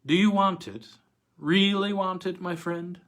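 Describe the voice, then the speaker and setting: A man's voice: high voice, steady tone, a little slow, fairly bright tone, pitched above the performer's own voice. Sinister lady singer, played by a man: purring, coy, sighing, raised voice, gothic horror tale. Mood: coy, promising.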